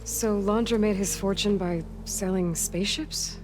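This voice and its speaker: A woman's voice: mid-range voice, fairly even intonation, measured pace, faint music behind, natural voice. An actress playing a bold, wry young warrior woman in a video game, with a direct, dry, informal way of talking. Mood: curious. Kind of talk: cinematic video game dialogue.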